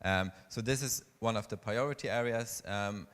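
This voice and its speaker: A man with a low voice reports his session's results at a conference. He works through the points from his notes. The sound carries a long hall reverb. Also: moderate pace, a bit bright. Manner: summarizing from notes. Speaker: session coordinator presenting results. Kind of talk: conference presentation summary.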